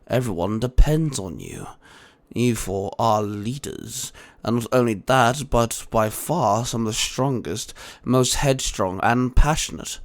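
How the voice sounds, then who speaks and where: A man voicing a calm, grave mentor of heroes in an audiobook, speaking in a measured, emphatic way. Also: mid-range voice. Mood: earnest. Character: calm, grave male mentor guarding the multiverse. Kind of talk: dramatic audiobook character acting.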